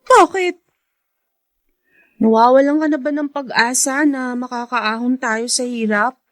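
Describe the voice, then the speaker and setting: A woman's voice: high-pitched, a little slow, no room sound, clean studio sound. A woman playing a caring, inquisitive young elder sister in a Filipino radio drama, with a concerned manner.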